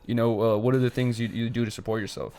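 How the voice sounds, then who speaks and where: A man in his late twenties hosting a casual podcast, relaxed and slangy, often asking "you know what I mean?". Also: mid-range voice, fast talker. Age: 20s. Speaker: podcast host.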